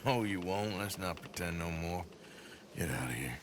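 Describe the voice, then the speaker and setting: Ailing outlaw, American Western drawl, blunt, resigned, gruff edge, lively intonation, faint ambience behind, video game drama. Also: male voice, low-pitched, normal speed, a little hiss or hum. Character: weary, ailing, blunt male outlaw in the Old West. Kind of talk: naturalistic game cutscene acting.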